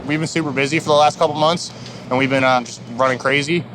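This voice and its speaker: A man's voice: medium pitch, fairly even intonation, quick pace. A man, talking casually and bluntly as a self-deprecating commercial diver outdoors. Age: 20s. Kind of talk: casual reality show interview. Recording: noisy outdoor location with engines.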